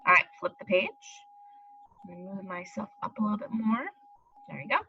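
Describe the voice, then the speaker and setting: A woman's voice: medium pitch, animated, normal speed, muffled recording, slight background noise. A woman teacher leading a read-aloud lesson for young children, explaining step by step and speaking directly to them. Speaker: elementary school teacher.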